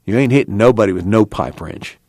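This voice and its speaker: A man's voice: lowish voice, brisk, darkish tone, dry recording, studio quality. A man voicing a brash, impatient young working man with folksy, blunt, profane rural speech in an audiobook. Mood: impatient scolding. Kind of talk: acted audiobook dialogue.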